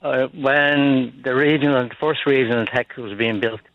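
An older man from Dublin with an Irish accent, calling in to a radio show and telling his story in a halting, plain-spoken way over a muffled phone line. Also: mid-range voice, steady tone, no room sound. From Dublin, Ireland. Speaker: caller. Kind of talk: phone-in call.

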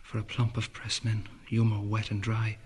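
An actor voicing a brooding young poet's murmured inner monologue, at times sinking to a whisper. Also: male voice, on the low side, monotone, moderate pace, darkish tone, slight background noise, lightly acted. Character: brooding young male poet, inward and allusive.

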